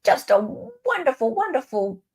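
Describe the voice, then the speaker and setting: A woman's voice: high-pitched, sing-song, normal speed, a little mellow, slightly rough. A woman chatting casually as host of a livestream.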